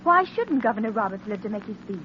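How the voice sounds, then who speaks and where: Muffled old radio sound with some hiss. A woman plays a pert, inquisitive sidekick with animated, swooping intonation. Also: mid-range voice, quick pace, natural voice. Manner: pert, expressive. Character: spirited, inquisitive society lady companion. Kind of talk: 1930s radio acting.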